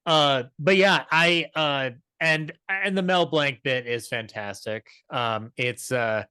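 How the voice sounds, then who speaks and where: A man giving an enthusiastic off-the-cuff review as a podcast panelist, halting and repeating himself as he goes. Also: mid-range voice, sharp tone, clean tone, no echo. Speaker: podcast panelist discussing classic comedy episodes. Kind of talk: casual discussion, off-the-cuff review.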